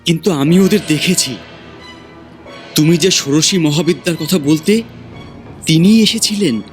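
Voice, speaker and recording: Audio drama role: bewildered young-hearted poet disciple, played by an actor, high male voice, slow and earnest, music beneath. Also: natural voice. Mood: earnest protest. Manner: dazed, earnest, protesting. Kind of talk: Bengali audio drama acting.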